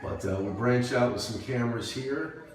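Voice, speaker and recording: A man who installs security systems gives a casual, informal walkthrough, speaking haltingly as if thinking aloud. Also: medium pitch. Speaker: security camera and intercom installer presenting a job. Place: walking around an apartment complex building. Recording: echoey, loud ambient and vehicle noise.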